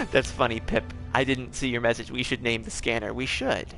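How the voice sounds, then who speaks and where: A man's voice: medium pitch, expressive, moderate pace, creaky, sharp tone, soft background music. A man casually commenting on his game for a live stream, rambling and tripping over his words as he plays at home. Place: home, gaming on a TV.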